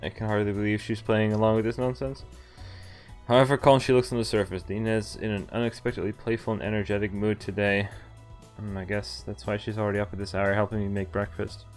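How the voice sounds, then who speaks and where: A man's voice: fairly low pitch, little pitch movement, fast talker. A man streaming a game, reading visual novel text aloud and reacting casually. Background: game music.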